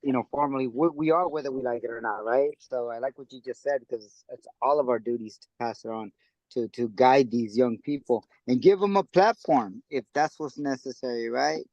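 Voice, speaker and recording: A man chatting casually in a reading group, stammering a little as he agrees with the group. His pitch is middling and the sound is dry. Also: fairly quick, darkish tone, no room sound, dull audio. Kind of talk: casual discussion.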